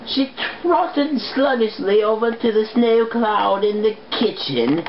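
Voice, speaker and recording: A woman reading a story aloud as an amateur, halting and stumbling over harder words. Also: a little high, animated, muffled recording, a little hiss or hum. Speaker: amateur fan fiction reader.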